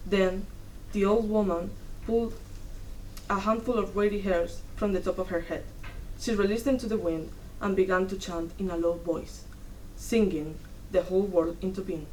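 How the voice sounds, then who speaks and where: A young woman giving a dramatic reading of a story, in measured, deliberate short phrases. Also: medium pitch, steady tone, a little mellow. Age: young adult. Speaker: student performer in a dramatic reading. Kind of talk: dramatic storytelling read from a script. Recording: distant mic, noisy room.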